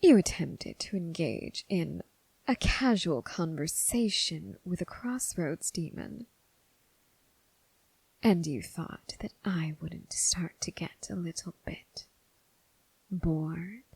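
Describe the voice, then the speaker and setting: A woman playing a seductive, menacing crossroads demon who toys with her prey, in a breathy, whispered ASMR roleplay with slow, teasing, formal phrasing. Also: on the low side, darkish tone, no room sound, full character voice. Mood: irritated, threatening.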